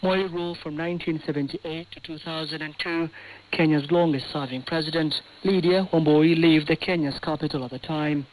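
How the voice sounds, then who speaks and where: A man's voice: a little high, fairly even intonation, moderate pace, rough recording. A man reporting a scripted news story as a radio correspondent, heard over shortwave. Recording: hissy shortwave radio broadcast.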